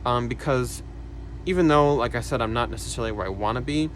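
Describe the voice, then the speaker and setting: Soft-spoken young man, hesitant and reflective. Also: medium pitch, quick pace, a little hiss or hum. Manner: soft-spoken, hesitant, many fillers and sighs. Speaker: vlogger. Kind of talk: casual personal talk to camera.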